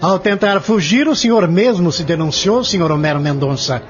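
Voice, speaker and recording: A man's voice: high-pitched, normal speed, close to an everyday voice. A man playing a formal, confident, accusing prosecutor in an audio drama, speaking emphatically. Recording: muffled lo-fi audio with music.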